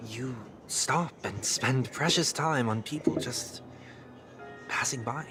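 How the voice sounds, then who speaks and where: An actor playing a composed, formal young man who speaks softly and deliberately in a game. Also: mid-range voice, close to an everyday voice. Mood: probing. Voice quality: soft-spoken, formal, measured. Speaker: game character. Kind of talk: game voice acting. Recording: game audio with music underneath.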